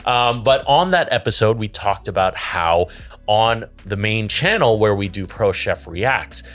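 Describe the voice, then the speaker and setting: A man's voice: lowish voice, measured pace. A man co-hosting a casual podcast, reading out viewer comments and teasing his co-host. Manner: playful, teasing, lively. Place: podcast studio.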